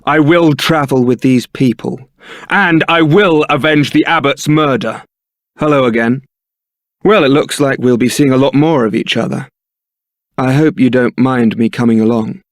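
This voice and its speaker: An actor with a British accent playing a suave, roguish young templar knight in a video game, polite and teasing. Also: male voice, mid-range voice, normal speed, dry recording, clean studio sound, lightly acted. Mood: bitter, resolute, then courteous. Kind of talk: scripted video game character acting.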